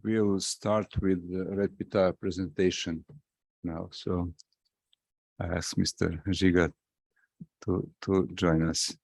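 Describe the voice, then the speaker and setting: Low, slow-spoken man chairing a session. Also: level tone, gravelly, a little mellow, no room sound. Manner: halting.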